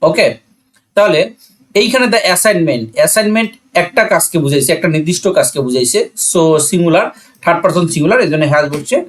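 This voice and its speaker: A man's voice: a little high, expressive, a little fast. A man with a Bangladeshi accent teaching a grammar lesson, asking and answering his own questions and repeating key points. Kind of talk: classroom-style grammar lesson explanation.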